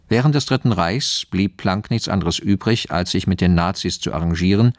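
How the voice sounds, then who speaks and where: An actor playing a formal official voice, reading a dossier in dry, factual narration for a radio drama. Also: male voice, low voice, dry recording, close to an everyday voice.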